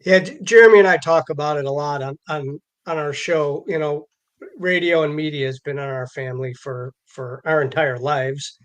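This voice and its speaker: A man with an American accent, co-hosting a show in casual conversation, halting and repeating words as he goes. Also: fairly high pitch, steady tone. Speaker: show co-host.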